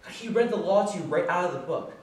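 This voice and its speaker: An actor playing an agitated, bitter working-class bookkeeper in a rambling, slangy, halting theatrical stage monologue. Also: male voice, high voice, animated. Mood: pleading, resentful. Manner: rambling, halting, slangy, swinging from muttering to outbursts. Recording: echoey distant stage recording, faint noise.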